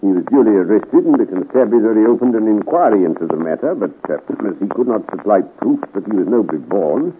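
An actor playing a shrewd, deferential French royal police chief with formal, measured diction in a 1950s radio serial. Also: male voice, fairly low pitch, animated, narrow, tinny audio, natural voice. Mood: grave. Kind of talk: 1950 radio serial acting. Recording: scratchy, thin, noisy 1950s radio recording.

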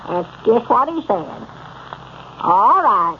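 A man's voice: sits high, sing-song, slow pace, full character voice. A man playing a gullible, worrying old country storekeeper with a rural Southern US accent in 1930s radio comedy style. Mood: matter-of-fact. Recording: muffled, noisy 1930s radio recording.

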